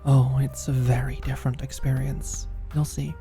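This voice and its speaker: An actor playing a teasing, caring British office manager in an intimate ASMR roleplay, speaking softly and breathily with a dry manner. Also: medium pitch, flat delivery, moderate pace, soft background music, lightly acted. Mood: casual, dryly teasing. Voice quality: soft, breathy, often near-whispered. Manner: dry, gently teasing, solicitous.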